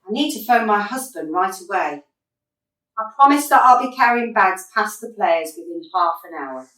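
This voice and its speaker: A woman's voice: medium pitch, expressive, moderate pace, fairly bright tone, distant mic, close to an everyday voice. A woman acting in a radio drama as a wry, unflappable middle-aged football manager with a dry, sardonic manner, in a roomy echo away from the mic. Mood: dry, defiant.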